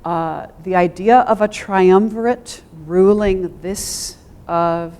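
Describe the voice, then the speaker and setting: A woman speaking as a theater scholar on a panel, thoughtful and articulate, with measured, formal phrasing and pauses. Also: fairly low pitch, warm tone, smooth. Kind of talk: thoughtful unscripted panel discussion.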